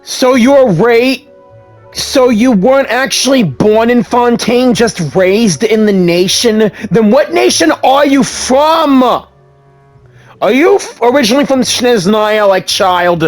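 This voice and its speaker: Slow-talking playful male streamer. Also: extremely high pitch, soft background music. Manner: playful, stumbling and excitable. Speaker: gaming streamer. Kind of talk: reading game choices and commenting.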